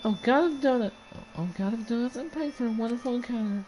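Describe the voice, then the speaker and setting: A man reading a visual novel aloud with chatty asides; his voice is dry and scratchy today, and he gets excitable and trips over his words. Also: extremely high pitch, fast. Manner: excitable, talks over himself with restarts. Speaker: let's play reader voicing a visual novel.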